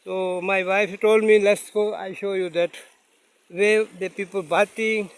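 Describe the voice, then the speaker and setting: A man's voice: high-pitched, little pitch movement, no echo, low-quality recording. Urdu-speaking man vlogging by a stream. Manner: halting, simple English with many fillers. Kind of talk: casual vlog narration. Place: outdoors by a mountain stream. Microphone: phone camera, no separate mic.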